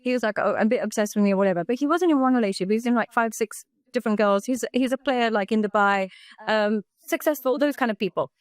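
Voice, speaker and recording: A woman's voice: on the high side, quick pace. A woman speaking as an interviewee on a call, hesitant and full of fillers and restarts.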